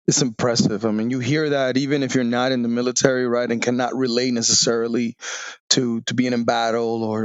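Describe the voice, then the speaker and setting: A Spanish-native man in his forties speaks English with a Dominican accent, his voice gravelly with fry. He pauses and restarts as he recalls things out loud. The recording is dull and lo-fi, with a dry, close sound. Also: medium pitch, dry recording. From Dominican Republic. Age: 40s. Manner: halting, with many restarts and fillers. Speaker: guest, Navy veteran. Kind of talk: reflective podcast interview.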